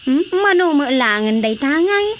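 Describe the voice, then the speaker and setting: A woman voicing a sharp-tongued village wife. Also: high-pitched, big pitch swings, moderate pace, a little hiss or hum. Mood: grumbling. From Philippines. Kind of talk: radio drama acting.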